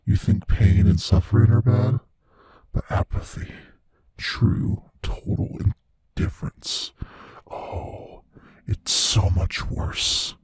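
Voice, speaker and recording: An ancient, sadistic monster, acted by a man, murmurs in a very breathy, husky low voice. He speaks slowly and intimately, taunting his prey with world-weary menace in a horror ASMR roleplay, very close and dry. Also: darkish tone, no room sound, full character voice. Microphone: close studio mic, dry.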